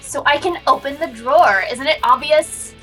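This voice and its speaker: A woman with a high-pitched, bright voice co-hosts a casual let's-play. She is upbeat and expressive, reading out character dialogue between bits of chat. Faint guitar music sits behind her. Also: moderate pace. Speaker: co-host of a gaming show. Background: soft guitar game music.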